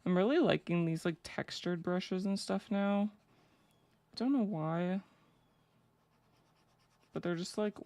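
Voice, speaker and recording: A young woman with a low voice chatting casually and rambling with lots of fillers while she draws on a live stream. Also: creaky, a little mellow. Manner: rambling, filler-heavy, laughs easily. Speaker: streamer drawing fanart.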